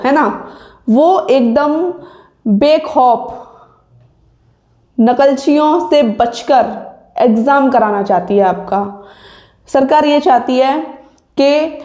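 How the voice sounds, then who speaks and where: Young woman, high voice, slow didactic delivery, informal coaching talk, frequent tag questions. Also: a little mellow. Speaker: exam-preparation coach and video host. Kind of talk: informal exam-update talk to viewers. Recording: echoey untreated room.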